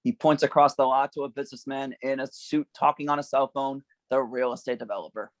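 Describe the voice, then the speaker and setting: A man with a gravelly voice reading stage directions as the narrator of a script table read. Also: medium pitch, steady tone, a little fast, no echo.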